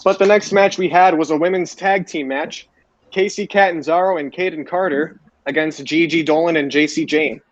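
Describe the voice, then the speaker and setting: A man with a slightly high voice hosts a casual sports recap. He talks haltingly and repeats his phrases. The sound is dull and lo-fi, like an online voice call. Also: steady tone. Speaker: podcast host. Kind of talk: casual wrestling show recap. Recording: roomy, dull lo-fi voice chat.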